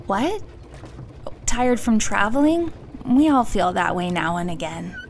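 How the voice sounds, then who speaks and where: A woman's voice: medium pitch, big pitch swings, unhurried, slight background noise. A woman playing a friendly, concerned village healer in a fantasy audio drama.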